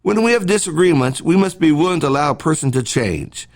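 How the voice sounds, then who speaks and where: Male preacher for devotional podcast: gravelly, mid-pitched, lively intonation, earnest and measured scripted read.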